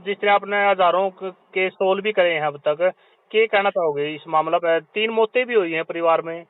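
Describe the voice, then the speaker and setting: Man, high clear voice, phone reporter, conversational questioning. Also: moderate pace. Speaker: news reporter interviewing by phone. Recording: tinny phone-call line.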